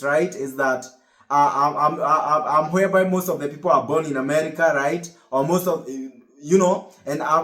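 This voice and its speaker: A man's voice: fairly high pitch, fairly bright tone, roomy sound. A young man from Kenya with a Kenyan accent and Kikuyu as his first language, giving an encouraging talk to camera in a rambling way that repeats and restarts phrases. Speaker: vlogger. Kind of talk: casual motivational talk to camera.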